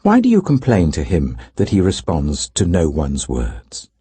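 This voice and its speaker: A man reading the part of an earnest, indignant young man rebuking his elders in a scripture story, emphatic, measured and oratorical. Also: low-pitched, huge pitch swings, warm tone, slightly rough, no room sound, voice pushed down, full character voice. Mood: urgent, commanding.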